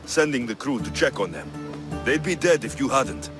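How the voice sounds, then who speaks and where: A man's voice: medium pitch, animated, normal speed, natural voice. Man acting a resolute mercenary hero, over music. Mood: calm, assured. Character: male mercenary hero, resolute, protective, terse. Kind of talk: dramatic video game acting.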